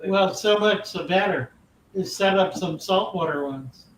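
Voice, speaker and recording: Slow, genial older man, high voice. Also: slight background noise. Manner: slow, genial, encouraging replies. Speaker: host. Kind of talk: casual call-in chat. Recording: roomy sound from far off, faint ambience.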